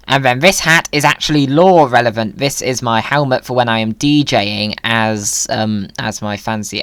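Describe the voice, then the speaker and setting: A man narrates his gameplay with silly, whimsical humour. His voice is smooth and clean, recorded close and dry. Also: mid-range voice, a bit bright, no room sound. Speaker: video creator, gamer. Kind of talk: playful gaming commentary.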